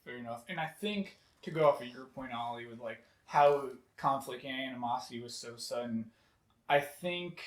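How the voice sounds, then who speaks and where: A man's voice: medium pitch, normal speed. A young man talking casually on a film review panel, rambling with many fillers. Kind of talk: casual group chat. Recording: roomy echo.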